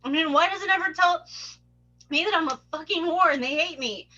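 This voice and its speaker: A woman's voice: very high-pitched, a little fast, bright, forceful tone, lo-fi sound. A woman playing a volatile, wounded young psychiatric patient in a live script reading. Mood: bitter, agitated. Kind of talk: live table read of a film script.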